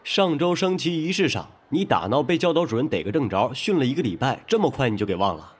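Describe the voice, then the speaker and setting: A man acting a patient, matter-of-fact high school teacher in a modern audio drama, giving instructions. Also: sing-song, quick pace, breathy edge. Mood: mildly scolding. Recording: faint background noise.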